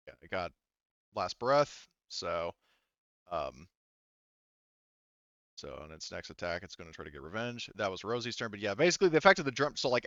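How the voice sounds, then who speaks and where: Man, game master, deep-ish smooth voice, casual halting narration, thinking aloud, dry slightly dull recording. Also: a bit bright, no room sound. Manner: halting, thinking aloud while working out dice.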